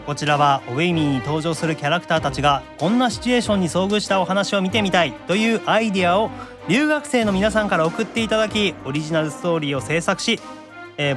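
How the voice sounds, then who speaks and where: A man's voice: fairly high pitch, quick pace, over music. A man hosting a podcast, switching between scripted intros and casual, teasing banter. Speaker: podcast host, voice actor.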